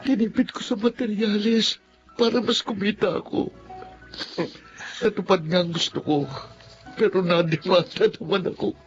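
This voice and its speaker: An actor with a Filipino accent playing a heavy-hearted, remorseful middle-aged widower in a radio drama. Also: male voice, high-pitched, fairly even intonation, moderate pace, pauses often, dull audio, soft background music. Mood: anguished regret.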